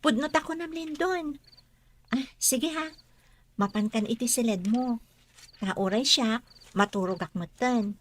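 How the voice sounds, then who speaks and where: A woman's voice: on the high side, unhurried, long pauses, a little hiss or hum, close to an everyday voice. An actress playing a kind young woman in a radio drama, friendly and reassuring. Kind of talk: Ilocano radio drama acting.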